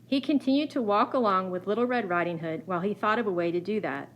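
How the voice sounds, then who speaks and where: Woman storyteller, medium pitch, faint noise. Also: fast, low-quality recording. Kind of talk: scripted children's story narration.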